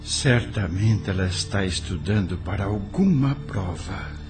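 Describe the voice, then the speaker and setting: A man's voice: low-pitched, expressive, measured pace, darkish tone, faint music behind. A man playing the pensive spirit of a dead father in an audio drama. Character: dead father's spirit, musing on his daughter.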